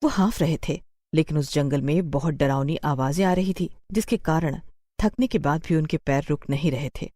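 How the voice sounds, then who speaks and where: A woman's voice: sits low, normal speed, warm tone, dry recording, studio quality. A woman narrating a scripted horror story.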